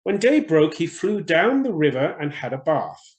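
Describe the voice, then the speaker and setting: A man's voice: on the high side, big pitch swings, normal speed, highs cut off. A man reading a story expressively for children, in a theatrical and friendly storyteller's manner.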